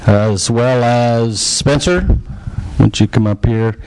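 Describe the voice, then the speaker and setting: Male host at a church gathering, average pitch, easygoing and joking. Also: slow pace, a little mellow, smooth, rough recording. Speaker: host introducing guests. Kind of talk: casual introductions.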